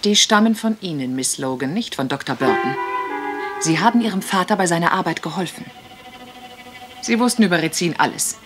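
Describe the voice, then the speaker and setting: An actress playing a shrewd, determined young woman detective in a radio crime drama, with deliberate, insistent delivery. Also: on the low side, expressive, over loud music, close to an everyday voice. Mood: accusing, insistent. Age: young adult.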